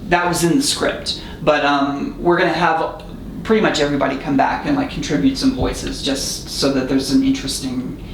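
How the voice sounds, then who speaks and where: A man's voice: medium pitch, little pitch movement, moderate pace, creaky, few pauses, far from the mic. A man speaking as a film director guest in a casual interview, explaining things earnestly. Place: echoey studio room. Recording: echoey room, off-mic, faint noise.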